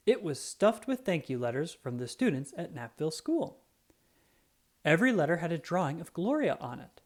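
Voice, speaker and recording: Lively, animated children's storybook reader. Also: mid-range voice. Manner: animated, dramatic, with drawn-out emphasis. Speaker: children's book read-aloud narrator. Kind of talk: expressive scripted storybook narration for children.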